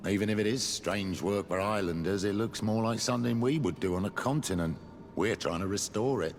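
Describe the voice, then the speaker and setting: An actor playing a practical, cautious village miner in a fantasy game, speaking in a plain, measured way. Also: male voice, lowish voice, in a large hall, soft background music, natural voice. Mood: matter-of-fact. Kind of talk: game dialogue.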